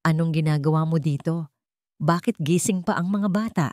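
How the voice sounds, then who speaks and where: A woman's voice: low-pitched, normal speed, darkish tone, no room sound, clean studio sound, close to an everyday voice. A woman voicing an anxious, heartbroken wife in a drama story, pleading with distress in her voice. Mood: nervous.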